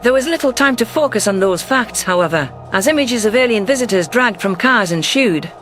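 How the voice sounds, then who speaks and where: A male narrator with a notably high voice tells a first-person science fiction story. The delivery is scripted storytelling, with music playing softly underneath. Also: lively intonation, fairly quick.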